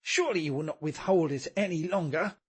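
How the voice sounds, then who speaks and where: Polite, pleading police detective, played by a man, high-pitched and husky, for a storybook mystery. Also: brisk. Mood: earnest, pleading. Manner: polite, earnest complaint. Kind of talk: dramatic audiobook character reading.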